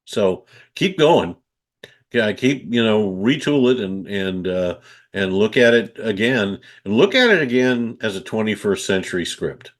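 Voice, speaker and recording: A man with a fairly low voice gives spoken feedback as a reviewer. He speaks off the cuff, hesitating and circling back over his phrases as he goes. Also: expressive. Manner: halting, hesitant, repeating phrases. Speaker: film industry reviewer.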